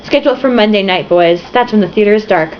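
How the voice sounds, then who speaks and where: A woman's voice: medium pitch, quick pace, slight background noise, close to an everyday voice. A woman voicing a dramatic female stage star with a grand, affected manner, in a storybook reading, on a slightly muffled home recording.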